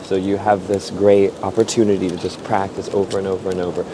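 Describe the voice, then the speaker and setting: A man's voice: low voice, little pitch movement, quick pace, noisy background. A man, a comedian being interviewed, chatting casually with dry, jokey storytelling outdoors on a bike. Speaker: guest comedian. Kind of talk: casual chat. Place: outdoors on a city street, riding a bike.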